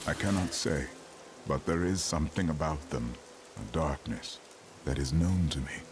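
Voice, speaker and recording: An actor playing a solemn, mysterious stranger in a fantasy video game, speaking in a halting, formal way. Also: male voice, rumbling low. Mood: brooding, uncertain. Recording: rain noise behind the voice.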